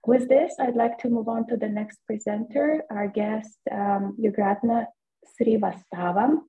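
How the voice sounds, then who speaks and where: A woman in her thirties moderates a formal online panel. Her voice is slightly high and she speaks in measured phrases with pauses. The audio is narrow and thin, like a phone line. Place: indoor room on a video call.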